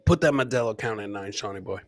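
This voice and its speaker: A man's voice: on the low side, fast, a little hiss or hum. A middle-aged man hosting a casual livestream, bantering with the chat, repeating his phrases and swearing casually, close on the mic. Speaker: podcast host and comedian. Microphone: close boom-arm microphone with headset.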